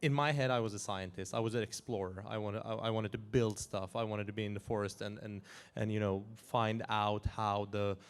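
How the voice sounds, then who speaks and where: Lively man in his forties. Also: lowish voice, brisk, hardly any pauses, clean tone. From Iran, raised in Norway. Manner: animated, chuckling, frequent restarts. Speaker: guest. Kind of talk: casual interview talk.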